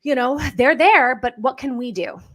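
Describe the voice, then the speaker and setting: A woman's voice: high voice, expressive, normal speed. The woman you'd hear at the start of a professional networking livestream, chattily welcoming everyone from a room at home or work. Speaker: host of a live online talk series. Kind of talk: welcoming interview introduction. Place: indoors on a livestream, near a window.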